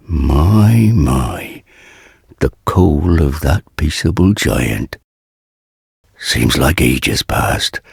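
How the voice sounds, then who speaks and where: Kindly old blacksmith, dark fantasy game. Extremely deep, gravel-throated and husky, archaic folksy speech, recorded close and dry. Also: male voice, no echo, heavily acted. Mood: grateful, wistful. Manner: slow, archaic, folksy phrasing. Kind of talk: dark fantasy video game character dialogue.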